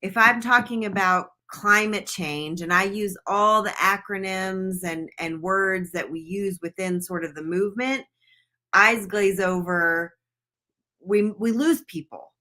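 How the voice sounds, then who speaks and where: A woman's voice: medium pitch, measured pace, sharp tone. An American woman whose first language was not English, speaking earnestly as a guest on a live political panel. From United States. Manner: earnest, emphatic, with restarts. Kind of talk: live political discussion on a video call.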